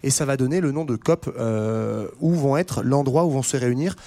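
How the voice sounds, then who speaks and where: A man talks through history for a podcast in an easy, conversational way. His voice sits at an ordinary pitch, and he backtracks and fills his pauses with hesitations as he explains. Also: warm tone. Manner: explains at length with frequent fillers and restarts. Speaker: podcast co-host. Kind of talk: casual history discussion.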